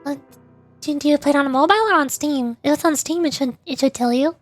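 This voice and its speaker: A woman's voice: high voice, fast, soft background music. A woman streamer chatting casually and rambling about games while answering viewers' questions. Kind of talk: casual livestream chat.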